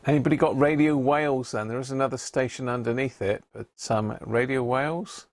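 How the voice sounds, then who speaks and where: A man's voice: mid-range voice, fairly even intonation, a little fast, no room sound, clean studio sound. Chatty man tuning a shortwave radio. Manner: chatty, reads out viewers' names and reports. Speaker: radio hobbyist hosting a live stream. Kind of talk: casual live commentary while tuning a radio.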